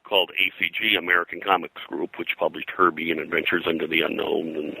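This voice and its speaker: An older man talking in a rambling interview style, halting and digressive as a guest historian. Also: low voice, flat delivery, vocal fry, bright, forceful tone, breathy edge, low-quality recording. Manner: halting, digressive, frequent "you know". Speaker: guest, pop culture historian.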